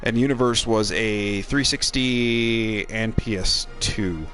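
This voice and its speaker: A man's voice: on the low side, takes it slowly, fairly bright tone, faint music behind. A middle-aged man, a game streamer and co-host, chats casually while playing, in a rambling, wry, self-deprecating way.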